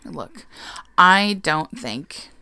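A woman's voice: deep voice, animated, drawn-out words, very noisy. A young adult woman chatting casually as a co-host, gushing and laughing, sometimes dropping to a whisper. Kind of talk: casual chat.

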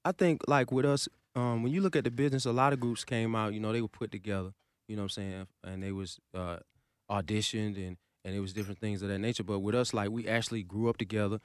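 Male guest, rapid storyteller, low resonant voice, dry sound. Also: fairly even intonation, clean tone, no room sound. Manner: fast storytelling, many "you know" fillers. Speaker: interview guest, singer. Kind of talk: casual interview. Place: podcast studio.

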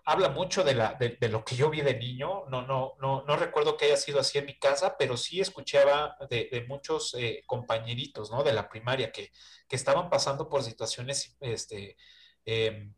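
A man's voice: medium pitch, moderate pace. A man with a Mexican accent in a casual film discussion, hesitant, searching for words and often seeking agreement.

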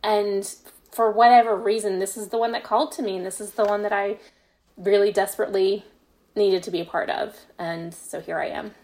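A woman's voice: mid-range voice, fairly even intonation, brisk, darkish tone. A woman talks as a guest on a podcast. She tells stories in a casual, conversational way, chatty and self-deprecating, often slipping into quoted exchanges. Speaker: podcast guest, fan fiction author.

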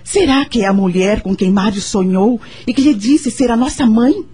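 An actress playing a devout, tactful young Brazilian wife, speaking sincerely and a little tentatively in a radio drama. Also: female voice, fairly high pitch, moderate pace, dark tone, husky. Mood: confiding, tentative. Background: background music.

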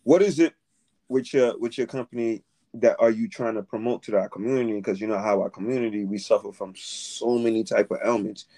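A Haitian-born man with a fairly low voice hosts a relaxed podcast interview. He talks casually and affirms his guest often, calling her darling. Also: brisk. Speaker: podcast host.

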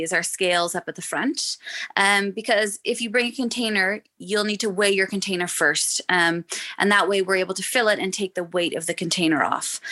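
A Canadian woman in her thirties talks as a podcast guest in a bright, forward voice. She explains things in a friendly way, stopping often to say um. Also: lowish voice. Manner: friendly, explanatory, frequent ums. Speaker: guest, shop owner. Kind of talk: podcast interview.

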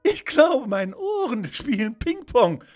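Man putting on a squeaky, excitable little girl. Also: a little slow, full character voice. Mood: excited. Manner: giggly, exclaiming. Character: excitable, wonder-struck little girl astronaut. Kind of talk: children's audio story character voice.

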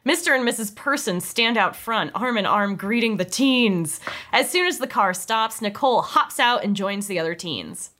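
A woman's voice: mid-range voice, animated, moderate pace, pushed and bright. A woman narrating a comedy script table read playfully, with casual, amused asides.

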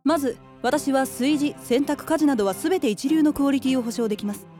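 An actress playing a formal, businesslike woman in her late twenties who speaks in cool, polite, measured sentences. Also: high voice, dark tone, faint music behind, close to an everyday voice. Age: 20s. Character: prim, formal 27-year-old woman rival. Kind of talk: radio drama acting.

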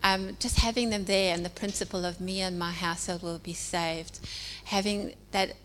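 A South African woman in her forties giving an informal church message, talking in a conversational way with many pauses and ums. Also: fairly low pitch, fairly even intonation, a bit bright. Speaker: church speaker. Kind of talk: informal sermon. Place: church hall. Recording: hall reverb with faint hum.

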